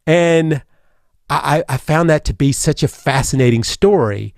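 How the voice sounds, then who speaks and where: A man's voice: a little high, expressive, a little slow, creaky, darkish tone, no room sound, studio quality. A man hosting a radio talk show in casual chat, rambling with many fillers and restarts. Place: radio studio.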